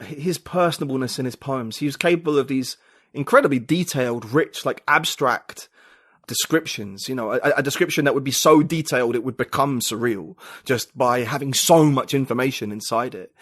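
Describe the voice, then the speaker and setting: A man's voice: mid-range voice, moderate pace, fairly bright tone, no room sound, clean studio sound. A man, a podcast guest talking reflectively and searching for his words in casual conversation.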